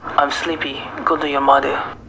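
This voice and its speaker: A man's voice: medium pitch, level tone, normal speed, lightly acted. A man acting a cold, irritable husband in an amateur radio drama, curt and dismissive. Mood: weary, curt. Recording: clear background noise.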